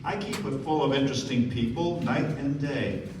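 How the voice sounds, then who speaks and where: A man's voice: mid-range voice, normal speed, natural voice. An actor playing a nervous, eager, genteel rich man with clipped politeness in a radio play. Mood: eager, boastful. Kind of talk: live radio play acting. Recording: very echoey, distant, noisy stage recording.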